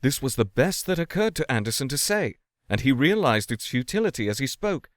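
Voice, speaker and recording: A man's voice: mid-range voice, sing-song, a little fast, no echo, clean studio sound. A man giving a dramatic reading of a literary tale as the narrator. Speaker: story reader.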